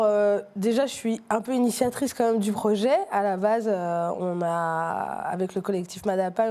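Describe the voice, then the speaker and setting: A woman's voice: mid-range voice, dark tone. A middle-aged woman from Martinique, a street artist talking casually in an interview, hesitant, with many fillers and restarts.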